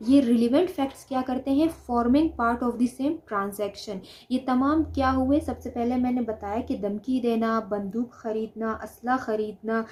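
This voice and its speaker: Mellow-voiced woman giving a lecture. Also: a little high. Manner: didactic, poses questions and answers them herself; repeats key phrases for emphasis. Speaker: law lecturer. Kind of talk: explanatory lecture with simple examples.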